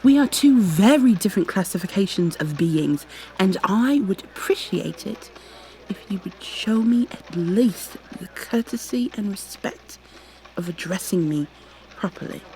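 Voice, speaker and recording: Woman acting a slow-spoken, proud goo girl agent. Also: mid-range voice, lively intonation, dark tone, slight background noise, rough recording. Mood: indignant. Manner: prim, indignant, formal wording. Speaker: voice actor in an audio roleplay. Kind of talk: ASMR roleplay acting.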